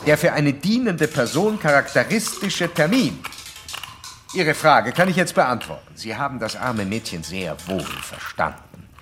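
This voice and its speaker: An actor playing an insistent psychoanalyst who lectures a patient in formal, didactic, old-fashioned German radio drama style. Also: male voice, mid-range voice, very animated, a little slow, fairly bright tone, faint music behind. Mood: probing. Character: male psychoanalyst doctor, insistent, certain, lecturing his young patient.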